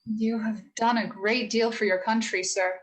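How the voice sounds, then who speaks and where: Gentle, earnest storytelling mother, played by a woman. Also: on the high side, fairly even intonation, close to an everyday voice. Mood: earnest, moved. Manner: measured, sincere moral storytelling. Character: gentle, earnest, wise mother of four girls. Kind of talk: radio play rehearsal, storytelling.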